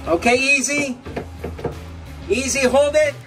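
A man's voice: extremely high pitch, takes it slowly, over music. A man working as a technician, explaining a hands-on repair step by step in an instructional way. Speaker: marine electrical technician presenting repairs. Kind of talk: hands-on tutorial commentary.

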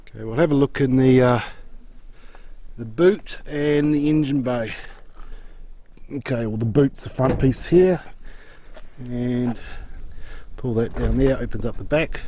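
Man, mid-pitched voice, casual unscripted commentary, matter-of-fact, plain-spoken, outdoors on handheld camera. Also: a little slow, pauses often, dark tone. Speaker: car auction agent presenting a walk-around. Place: outdoors in an open car yard.

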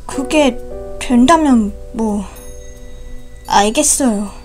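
A woman's voice: a little high, drawn-out words, darkish tone, faint music behind. A woman voicing a defensive young woman who stammers and whines. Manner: whiny, stammering.

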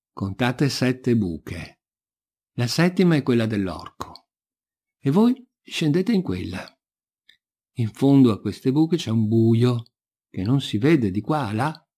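A storybook prior played by a male reader, bossy yet helpful, gives cautions with lively swings of pitch. The tone is mellow and husky. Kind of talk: storybook reading.

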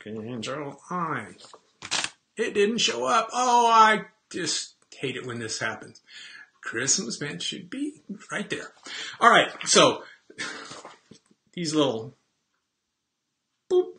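Man in his fifties, fairly high voice, bright tone, chatty and self-deprecating, home office webcam. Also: big pitch swings, takes it slowly, pauses often. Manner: chatty, rambling, self-deprecating, frequent throat clearing. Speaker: host. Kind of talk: casual chat to webcam. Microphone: webcam at desk.

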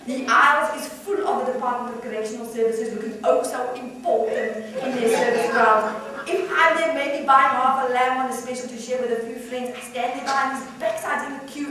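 A woman's voice: high voice, fairly even intonation, a little fast, few pauses, crowd noise, close to an everyday voice. A woman voicing a mocking, emphatic South African caller ranting in colloquial talk, for a comic story reading. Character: scornful, ranting South African late-night radio caller. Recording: echoey room, distant mic, noisy.

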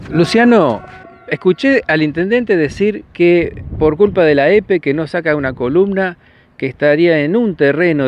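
A man with an Argentine accent, a reporter asking brisk, direct questions on site outdoors. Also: on the high side, muffled recording, a little hiss or hum. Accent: Argentine Spanish. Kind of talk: on-site news interview. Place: outdoors by a street.

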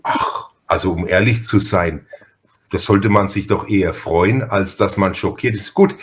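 A man's voice: low voice, unhurried, slight background noise. Chatty Franconian man hosting a podcast. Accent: Franconian German. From Franconia, Germany. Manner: chatty, rambling. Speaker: podcast host. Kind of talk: casual interview chat.

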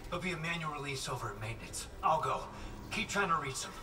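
A man's voice: a little high, lively intonation, quick pace, noisy background, natural voice. An actor playing a determined, practical male engineer in a dramatic science fiction game. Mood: grim. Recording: reverberant, distant helmet voice under music.

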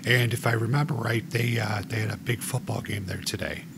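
A man in his forties with a gravelly voice, hosting a joking podcast chat. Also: on the low side, fairly even intonation, fairly quick, a bit bright, a little hiss or hum. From United States. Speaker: podcast host.

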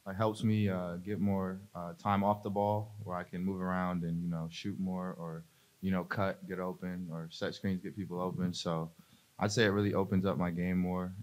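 A young man, a college basketball player, answering reporters at a postgame press conference in a low-key, relaxed way, full of fillers, into a desk mic. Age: young adult. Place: press conference room, seated at a table. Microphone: desk mic on a stand.

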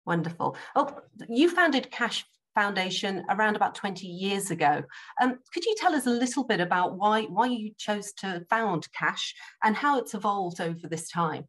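A middle-aged woman moderating an online panel discussion over a video call, polite and measured, with frequent ums. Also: mid-range voice, animated, fairly bright tone. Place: home office, indoors.

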